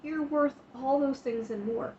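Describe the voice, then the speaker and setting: A woman's voice: high-pitched, a little slow, darkish tone, slight background noise. A woman hosting a casual livestream, sniffly and coughing from the flu, talking frankly and with swearing straight to her chat. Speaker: livestream host. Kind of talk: casual livestream chat about self-care. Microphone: streaming mic. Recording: dull lo-fi stream audio.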